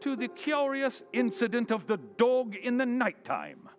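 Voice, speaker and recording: A man playing a confident, clever gentleman detective with wry playfulness, brisk and theatrical, in an old-time radio drama. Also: very high-pitched, very animated, faint music behind, full character voice. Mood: polite, enigmatic.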